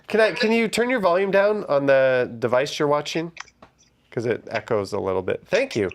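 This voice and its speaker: A man in his forties hosting a live call-in stream, easygoing and quick to laugh. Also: on the high side, lively intonation. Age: 40s. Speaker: host.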